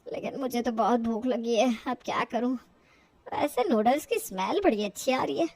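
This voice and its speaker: A woman's voice: a little high, lively intonation, normal speed, darkish tone, no room sound. A woman voicing a stubborn, elderly Indian mother-in-law who scolds and grumbles indignantly in a family storybook tale. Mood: grumbling. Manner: indignant, grumbling, talks to herself.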